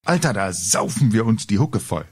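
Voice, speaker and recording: A man's voice: lowish voice, very animated, moderate pace, a little mellow, slightly rough, voice pushed up. A man voicing a brash, slangy, hard-drinking middle-aged rock fan in a story reading. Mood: eager, brash.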